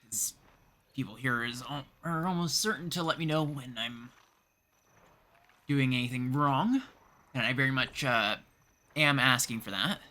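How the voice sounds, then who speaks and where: A man giving casual game stream commentary, thinking out loud as he goes. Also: mid-range voice, measured pace, pauses often. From Canada. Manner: mutters instructions aloud while reading, thinking out loud. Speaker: livestreamer.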